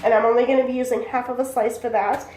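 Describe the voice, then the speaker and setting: A woman's voice: a little high, fairly even intonation, fast, dark tone. A young woman with a congested voice from bronchitis, chatting casually as she demonstrates a recipe in her home kitchen. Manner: chatty and rambling, talks while working. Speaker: cooking channel host. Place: small home kitchen, indoors. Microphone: camera mic from a few feet away. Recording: echoey kitchen with background noise.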